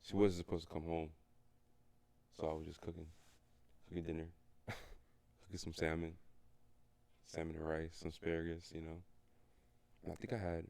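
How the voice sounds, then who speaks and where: Young male co-host, low raspy voice with dry close sound, relaxed storyteller. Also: normal speed, pauses often, no echo. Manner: laid-back storyteller who gets animated. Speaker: podcast co-host. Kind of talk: casual chat.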